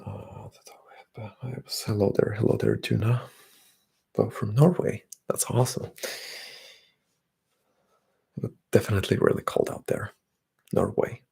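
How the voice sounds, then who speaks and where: A young man, chatting softly and often whispering on an ASMR livestream while reading out comments. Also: lowish voice, fairly even intonation, a little slow, vocal fry, pauses often, slightly rough. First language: Bulgarian. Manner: soft-spoken, drifting into whispers. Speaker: ASMR streamer.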